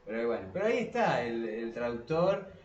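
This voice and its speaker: A man with an Argentine Spanish accent in a casual podcast discussion, speaking haltingly and repeating words as he thinks aloud. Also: medium pitch, sing-song, muffled recording, over a noisy crowd. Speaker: podcast co-host. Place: small cramped room below a staircase. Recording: roomy echo of a small room.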